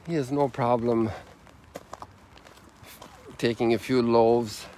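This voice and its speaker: A man's voice: mid-range voice, steady tone, slow pace, warm tone. A man in his sixties, a priest hosting a reflective livestream chat outdoors. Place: outdoors on a lakeshore. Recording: outdoor ambience noise.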